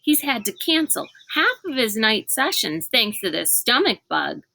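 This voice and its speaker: A woman's voice: on the high side, very animated, a little slow, gravelly, pushed and bright, no echo, full character voice. A woman voicing a cheerful, dim-witted, chatty male school minder in a children's storybook, breezy and guileless. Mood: brisk, chirpy. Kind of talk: children's storybook read aloud.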